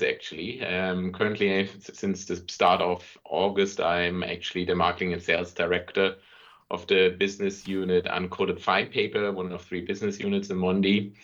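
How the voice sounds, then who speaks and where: A middle-aged man with a German accent, a native German speaker, answering business interview questions in halting phrases. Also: low voice, fairly bright tone, muffled recording. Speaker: interview guest, marketing and sales director.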